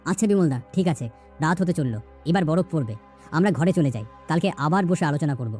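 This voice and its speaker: Woman as quick, mellow humble Bengali villager. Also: low voice, animated, husky, soft background music. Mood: worried. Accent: West Bengal. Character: poor, humble village man in a cartoon.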